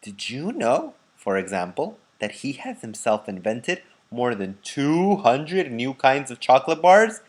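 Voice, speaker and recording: A man's voice: medium pitch, big pitch swings, a little slow, hardly any pauses, pitched above the performer's own voice. A man voicing a very old grandfather in a children's storybook, eager and boastful, speaking in emphatic exclamations. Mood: awed, excited. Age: nineties. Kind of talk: children's storybook read-aloud.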